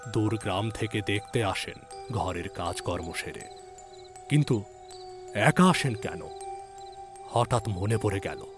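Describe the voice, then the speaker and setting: A man acting a village healer telling his own ghost story in a low voice. He goes very slowly and dramatically, letting phrases drop and then pressing on the uneasy questions. Music plays clearly behind him. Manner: measured, suspenseful delivery with hushed drops and emphatic questions. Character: male village physician recounting an eerie ghostly encounter. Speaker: narrator. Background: background music.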